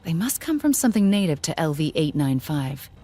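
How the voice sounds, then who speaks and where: An actress playing a curious, blunt scientist in a video game, speaking in an analytical, matter-of-fact way. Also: female voice, sits low, big pitch swings, a little fast, warm tone. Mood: analytical, intrigued. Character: curious, blunt female scientist studying alien creatures. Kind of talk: scripted video game dialogue.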